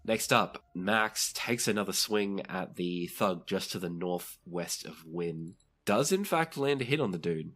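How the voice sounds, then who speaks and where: A man with a fairly low voice serves as game master for a tabletop roleplaying session. He narrates the scene and gives rulings to the players. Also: lively intonation, unhurried, hardly any pauses, fairly bright tone.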